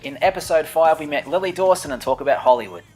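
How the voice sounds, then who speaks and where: Male host, upbeat announcer read, slightly higher voice. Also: lively intonation, quick pace, darkish tone, husky, soft background music, low-quality recording. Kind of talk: recapping a season of interviews.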